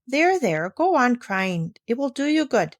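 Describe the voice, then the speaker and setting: A woman reading aloud voices a kindly older male doctor in a storybook, speaking in a gentle, soothing way. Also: fairly high pitch, sing-song, a little slow, pitched above the performer's own voice.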